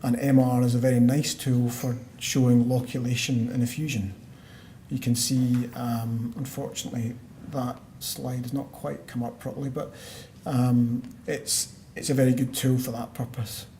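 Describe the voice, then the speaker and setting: Scottish guy doing a medical talk, flat mellow voice, echoey hall. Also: medium pitch. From Scotland. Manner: measured, explanatory, with frequent uh fillers and restarts. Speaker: pulmonologist giving a conference lecture. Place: conference hall. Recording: roomy hall sound, faint background noise.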